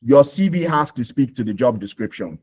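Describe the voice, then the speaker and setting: Nigerian male host, mid-pitched, coaching tone, dull webinar audio. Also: lively intonation, a little fast, a little mellow, husky. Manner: explains with homely examples, repeats points, asks "you understand?". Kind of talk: live Q&A webinar.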